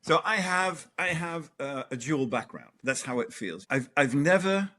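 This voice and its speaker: A middle-aged man from Denmark who grew up in the Netherlands, telling personal stories as an interview guest in an animated way. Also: fairly high pitch, measured pace. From Denmark, raised in the Netherlands. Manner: animated, swears and laughs while telling stories. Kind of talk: reflective storytelling.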